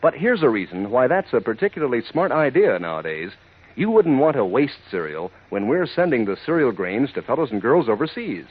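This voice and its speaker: A man with a husky voice giving a chummy, folksy 1940s radio commercial pitch. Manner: chummy, addresses listeners as pals. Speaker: commercial announcer. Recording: thin, phone-like 1940s radio recording.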